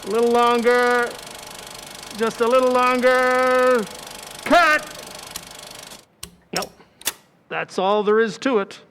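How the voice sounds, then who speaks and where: A fussy, deferential movie director, acted by a man in old radio style. His voice is high and deliberate, ringing in a long hall reverb. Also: pushed and bright, a little hiss or hum, full character voice. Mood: deliberate, apologetic. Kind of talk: old-time radio drama acting.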